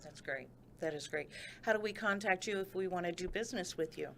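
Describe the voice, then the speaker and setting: A woman's voice: on the low side, creaky, a little hiss or hum. A woman hosting a local radio interview, friendly and affirming as she asks her guests questions. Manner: friendly, affirming, quick to laugh. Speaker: radio host.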